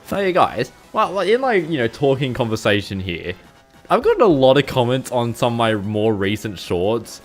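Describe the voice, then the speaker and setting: A man's voice: medium pitch, wildly swooping pitch, moderate pace, faint music behind. A young man with an Australian accent, rambling casually to his livestream viewers with frequent chuckles and fillers. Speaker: streamer.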